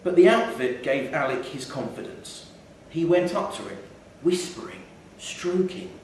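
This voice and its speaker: Male narrator, wry and measured, reading live fiction, heavily echoing room, mic at a distance. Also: fairly high pitch, lively intonation, darkish tone. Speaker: short story reader. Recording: echoey theatre hall, distant mic, faint noise.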